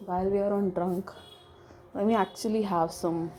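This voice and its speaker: A woman's voice: mid-range voice, fairly even intonation, moderate pace, warm tone, slight background noise, low-quality recording. A young woman with an Indian accent hosting a solo podcast, rambling casually at home and stringing thoughts together with lots of filler phrases. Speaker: podcast host.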